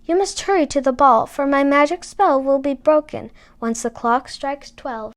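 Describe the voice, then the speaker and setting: Kindly fairy godmother for a fairy tale, high-pitched, gentle, reassuring female reader. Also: moderate pace, lightly acted. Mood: urgent. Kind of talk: storybook character voice.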